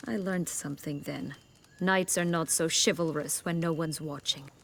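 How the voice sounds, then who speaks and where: An actress playing a proud, bitter exiled noblewoman in a video game, with haughty, formal, measured speech. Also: low-pitched, fairly even intonation.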